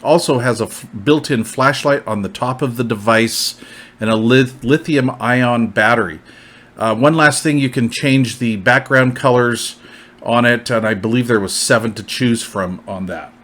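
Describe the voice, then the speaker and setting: A man's voice: mid-range voice, moderate pace, a bit bright. Male product reviewer, mid-range voice, informal and plainspoken, walking through features. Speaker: product reviewer presenting gear. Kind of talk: informal product overview, partly listing features.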